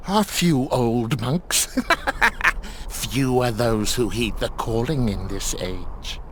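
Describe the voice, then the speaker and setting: An actor playing a simple, nervous monk who loves birds in a video game, excitable and halting, with wheezy giggles. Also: male voice, medium pitch, slight background noise. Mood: giddy, friendly.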